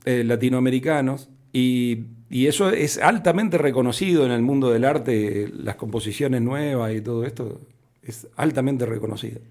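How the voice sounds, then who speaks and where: A man's voice: medium pitch, normal speed, darkish tone. A man with an Argentine Spanish accent, speaking conversationally as a festival founder being interviewed on radio.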